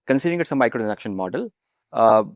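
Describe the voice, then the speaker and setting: A man's voice: medium pitch, animated, very fast, no echo, clean studio sound. A young man with an Indian accent answering as a founder in a business interview, often tagging statements with a checking question. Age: young adult. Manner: repeatedly tags statements with "right?". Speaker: startup founder, guest.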